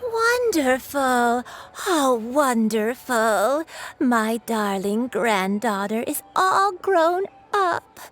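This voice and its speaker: A woman playing a tender, forgetful elderly grandmother in a video game, fussing gently as she talks. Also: fairly high pitch, very animated, extremely slow, full character voice. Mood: delighted, proud.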